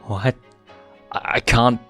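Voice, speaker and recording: A man's voice: mid-range voice, fairly even intonation, slow, pushed and bright, breathy edge, soft background music, voice pushed up, heavily acted. A man voicing an earnest, conflicted young male lion with a stammering, questioning, pleading manner in a story reading. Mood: confused, hurt.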